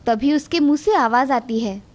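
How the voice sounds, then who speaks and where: A woman narrating an animated children's story in a steady, clear storytelling manner. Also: high voice, moderate pace.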